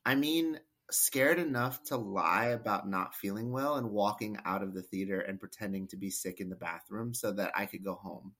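A man's voice: fairly low pitch, moderate pace, few pauses, a bit bright. A man co-hosting a podcast, telling a rambling, self-deprecating story in casual chat. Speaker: podcast co-host.

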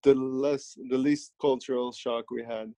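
A man's voice: mid-range voice, steady tone, creaky, no room sound. Hesitant young man. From Netherlands. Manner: hesitant, many "uh" pauses, trailing off quietly. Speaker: interview guest. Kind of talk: casual conversational interview.

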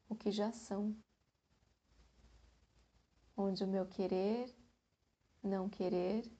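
A Brazilian woman leads a guided meditation in Portuguese-accented speech. Her voice is dark and relaxed, slightly husky, with a very even pitch. She moves extremely slowly and gently, letting long pauses settle between short phrases.

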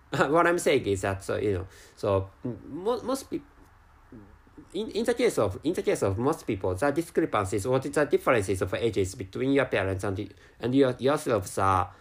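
A man with a Japanese accent, a native Japanese speaker, talking alone on his podcast in slow, halting English full of restarts and repeated words. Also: mid-range voice. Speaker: podcast host. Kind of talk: casual solo podcast monologue.

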